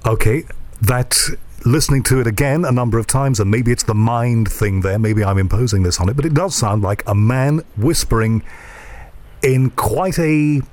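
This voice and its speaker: A man's voice: medium pitch, moderate pace, a little mellow. Enthusiastic male radio host, curious. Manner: inquisitive, enthusiastic, thinks aloud. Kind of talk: curious interview chat.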